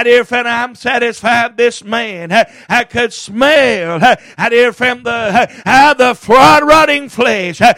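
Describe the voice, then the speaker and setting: A man preaches with a very high, strained voice. He chants short phrases, breaking each with a rhythmic gasped "uh, uh," and often rises to a shout. The recording is dry and close. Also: no echo. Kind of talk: chanted hellfire sermon.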